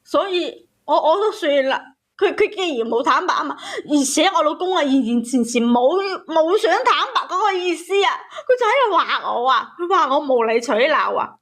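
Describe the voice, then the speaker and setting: Cantonese lady calling in, really high voice, worked up telling her own story, keeps repeating stuff. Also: very animated, moderate pace, fairly bright tone. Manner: agitated, emphatic, repeats phrases. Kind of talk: emotional personal storytelling on a call-in show.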